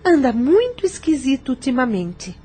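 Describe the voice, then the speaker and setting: A woman's voice: high-pitched, sing-song, normal speed, dark tone, breathy edge, faint music behind, exaggerated voice. An actress playing a concerned, strict mother with a maternal, scolding manner in an audio novel.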